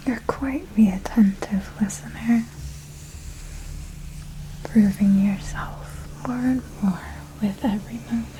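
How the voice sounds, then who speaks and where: A woman's voice: mid-range voice, mellow, close to an everyday voice, poor audio. A woman playing a refined, seductive vampire mentor in a whispered ASMR roleplay, formal and intimate, poised and knowing. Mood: coolly instructive. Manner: slow, measured, formal, intimate instruction.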